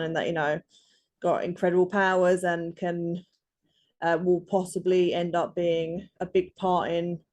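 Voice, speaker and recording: A woman's voice: lowish voice, a little mellow. A woman in her thirties, a guest author talking in an interview, full of ums and false starts. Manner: hesitant, many ums and restarts. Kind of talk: casual interview.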